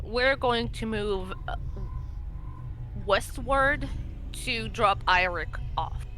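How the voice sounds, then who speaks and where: Bright-voiced woman, unhurried tabletop player. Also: a little high. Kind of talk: casual group game planning.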